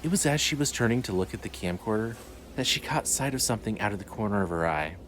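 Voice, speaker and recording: Male narrator for horror fiction: fairly low voice, scripted storytelling, faint ambience underneath. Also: animated, fast talker, fairly bright tone, a little hiss or hum.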